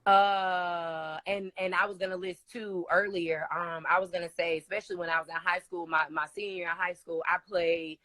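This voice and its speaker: A young woman with a low, raspy singer's voice talks as an interview guest, gushing about music with plenty of ums and likes. Her voice sits fairly low and the sound is dry and close. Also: fairly even intonation, normal speed, few pauses, bright, forceful tone, no room sound. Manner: chatty, enthusiastic, many fillers. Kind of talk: casual interview chat.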